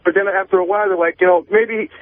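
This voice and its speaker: A man calling in to a radio show over the phone, drinking and rambling casually in a halting, scattered way. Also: high voice, fairly even intonation, dry recording. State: drinking vodka. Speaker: phone-in caller. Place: phone call from a parking lot. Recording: thin, tinny phone line.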